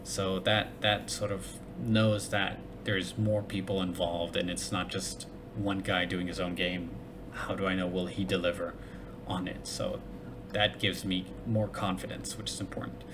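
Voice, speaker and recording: Chatty low-voiced man thinking aloud. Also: level tone, measured pace. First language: English and Hebrew bilingual. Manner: thinking aloud, many fillers and restarts. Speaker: reviewer of crowdfunding pages. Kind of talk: casual solo commentary. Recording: noticeable background noise.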